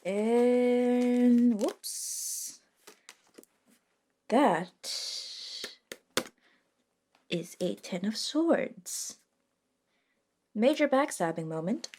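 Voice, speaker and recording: A woman playing a reluctant, sardonic modern witch in a soft-spoken ASMR roleplay, talking casually and hesitantly with dry wit and mild swearing. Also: on the high side, drawn-out words, pauses often, a little hiss or hum. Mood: dry, concerned.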